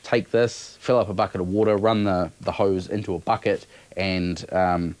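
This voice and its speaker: A man's voice: deep voice, fairly even intonation, normal speed, a little mellow, dry recording. A man giving a casual, unscripted product review in an offhand, matter-of-fact way.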